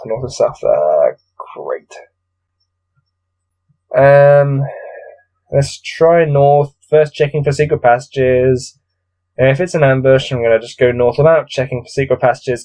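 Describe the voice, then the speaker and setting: A man's voice: mid-range voice, darkish tone. A man with a cold coming on reads a gamebook aloud, adding weary, exasperated, off-the-cuff commentary. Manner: weary, grumbling, exasperated asides. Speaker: solo gamebook reader and commentator.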